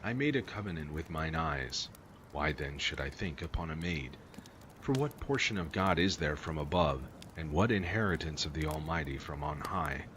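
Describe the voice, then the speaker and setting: A man's voice: rumbling low, expressive, normal speed. A man reading old scripture aloud in a solemn, declamatory manner. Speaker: amateur Bible reader. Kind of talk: reading scripture aloud, King James Version. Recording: clearly noisy recording with ambience.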